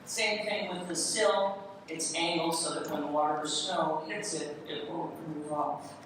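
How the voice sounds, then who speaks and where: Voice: medium pitch, fairly even intonation, unhurried. Distant, very echoey presenter in a hall. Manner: explanatory, defining each part as it is shown. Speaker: presenter, window restorer. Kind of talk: live hands-on demonstration talk to an audience. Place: large echoey room in a city hall. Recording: echoey hall, distant, noisy live event recording.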